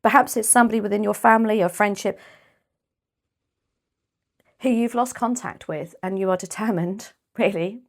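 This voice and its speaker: A woman with a British accent giving a casual tarot reading, chatty and rambling, her voice a little croaky and often clearing her throat. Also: mid-range voice, moderate pace, pauses often. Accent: British English. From United Kingdom. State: slightly croaky voice. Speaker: tarot reader.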